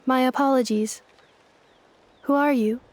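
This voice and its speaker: A polite humanoid machine maid from a video game. Her voice is fairly high. She asks things calmly and formally, as if unsure, with faint noise behind her. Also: a little slow. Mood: polite, puzzled. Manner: formal, calm, questioning. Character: polite humanoid robot maid with lost memory.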